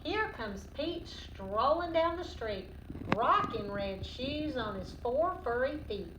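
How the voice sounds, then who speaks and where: Woman teacher reading a kids story, kinda high voice, echoey and a bit far from the mic w noise. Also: expressive, slow pace. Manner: instructive, asks the children questions, sing-song on refrains. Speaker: teacher reading a picture book aloud to children. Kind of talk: children's read-aloud lesson. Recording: roomy, distant mic, noticeable background noise.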